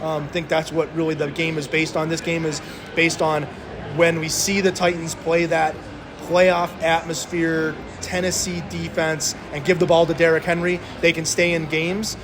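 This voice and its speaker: High-voiced man talking football odds. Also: level tone, moderate pace, a crowd in the background. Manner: conversational with frequent "um" and "uh" hesitations. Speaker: sports betting podcast co-host. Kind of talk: casual sports betting talk.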